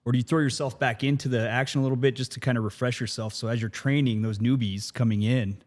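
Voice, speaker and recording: A man's voice: medium pitch, fairly even intonation, fast. A man in his thirties hosts a podcast in a mid-range voice. He asks long, rambling questions. A long reverb trails his words. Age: 30s. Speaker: podcast host. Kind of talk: casual podcast interview.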